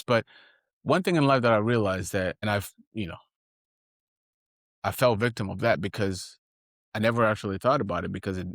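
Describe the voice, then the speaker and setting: A man talks alone as a podcast host. His delivery is reflective and earnest, moving in halting phrases as he thinks aloud. The sound is dry, with very little room in it. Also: fairly low pitch, no echo. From Haiti. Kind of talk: reflective solo podcast talk.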